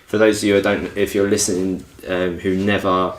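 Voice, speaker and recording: A man's voice: deep voice, flat delivery, a little fast, roomy sound. A man co-hosting a podcast, chatting casually and jokily in informal banter. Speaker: podcast co-host. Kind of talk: casual chat about films and games.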